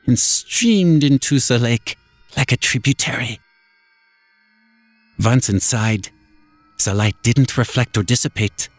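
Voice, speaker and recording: A man's voice: mid-range voice, no echo. A man narrating a first-person horror story in a dramatic, scripted storytelling style. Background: music.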